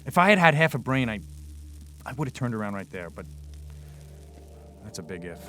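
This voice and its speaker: An actor playing a weary, sardonic young ghost hunter, narrating and talking in a wry, deadpan way in a radio drama. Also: male voice, fairly low pitch, brisk. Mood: sardonic, uneasy. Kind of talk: first-person radio drama narration and dialogue. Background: loud dramatic music.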